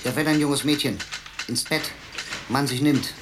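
Ein Mann spielt in einem Hörspiel einen dichtenden Computer, der gemessen und pathetisch Verse deklamiert. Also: male voice, mid-range voice, noisy background, lightly acted. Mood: amorous, plaintive. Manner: declamatory, measured verse delivery. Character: versifying computer, a male machine reciting bombastic, amorous poetry. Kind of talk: declaimed verse in radio drama.

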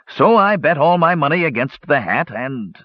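A man's voice: fairly high pitch, sing-song, moderate pace, dry recording, muffled recording, pitched above the performer's own voice. A man voicing a sheepish, wheedling dwarf gambler in a fantasy audiobook, confessing with hedges and sighs. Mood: sheepish, wistful. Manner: hesitant, hedging, sighing confessions with folksy turns.

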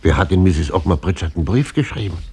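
An actor playing an old blind sea captain in a radio play, giving a chuckling, gossipy running commentary on the street. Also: male voice, low voice, lively intonation, fast talker, darkish tone, slightly rough, full character voice.

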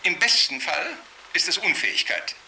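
A man's voice: on the high side, big pitch swings, moderate pace, vocal fry, bright, forceful tone, slight background noise, heavily acted, low-quality recording. An actor playing an alarmed, self-assured male cult expert, lecturing formally and emphatically in a radio drama interview. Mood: indignant, lecturing. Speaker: TV studio expert being interviewed. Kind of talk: radio drama acting as broadcast expert commentary.

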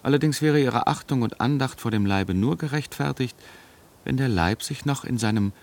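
An actor in a German radio play playing a stern, sharp-tongued religious ascetic who argues in a cutting, dogmatic way. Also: male voice, medium pitch, normal speed, natural voice. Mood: mocking.

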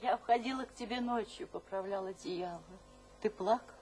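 A woman's voice: mid-range voice, normal speed, a little mellow, faint music behind, natural voice, low-quality recording. A woman playing an anxious, devoted elderly mother in a radio drama, fretful and pleading. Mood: tender reproach.

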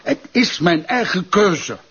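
In a dull drama recording, an ailing Flemish gentleman villain, imperious and cynical. The voice is extremely raspy and rather high for a man. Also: big pitch swings, slow pace, full character voice. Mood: stubborn, commanding. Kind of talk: dramatic screen or radio acting. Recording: dull lo-fi sound.

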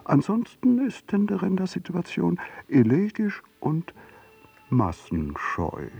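An actor playing a mocking devil who narrates in a sardonic, theatrical, deliberate way in a radio play. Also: male voice, medium pitch, creaky, a little mellow, recorded from a distance, full character voice. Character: mocking male devil narrating a fantasist's life.

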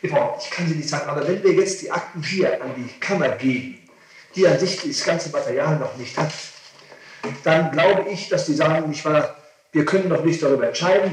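A man's voice: a little high, recorded from a distance, slight background noise. Echoey archive tape of insistent male lawyer. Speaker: defence lawyer. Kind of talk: legal advice in a recorded interrogation hearing.

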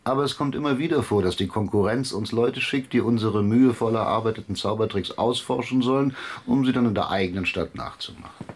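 An actor playing a smug, sardonic schemer in a naturalistic German radio drama, with a taunting manner. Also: male voice, medium pitch, fairly quick, slight background noise, natural voice. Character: Nico, smug, sardonic, scheming man in a wheelchair.

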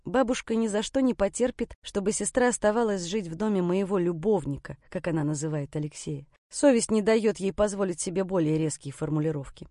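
Mellow woman narrating an audiobook. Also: mid-range voice, fairly quick, hardly any pauses, no echo, clean studio sound. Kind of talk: scripted first-person story narration.

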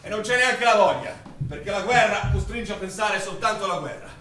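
High-voiced villager witness, roomy stage sound. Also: male voice, fairly quick. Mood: grave, emphatic. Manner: expressive, dramatic storytelling delivery. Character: Italian villager witness recounting wartime German occupation, bitter, vivid. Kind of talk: theatrical monologue for radio play. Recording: roomy, distant stage recording with faint noise.

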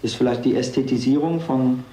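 A man's voice: mid-range voice, brisk, dark tone. A man giving a reflective interview answer in abstract, intellectual language, searching for his words. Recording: echoey room.

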